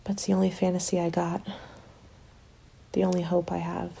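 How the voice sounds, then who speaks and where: A woman playing a weary survivor of a zombie winter in a soft, breathy, confiding ASMR roleplay. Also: on the low side, flat delivery, brisk, heavily acted, low-quality recording. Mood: wistful.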